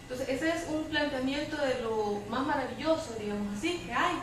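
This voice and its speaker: A Salvadoran woman giving spontaneous commentary as a panelist at a public debate, speaking hesitantly with pauses between phrases. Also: sits high. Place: public debate room, picked up from afar. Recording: distant, very echoey room with clear noise.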